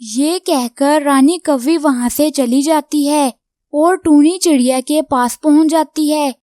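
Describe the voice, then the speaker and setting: A woman's voice: sits high, slow pace, warm tone, dry recording, studio quality. A woman reading scripted narration for a children's cartoon story.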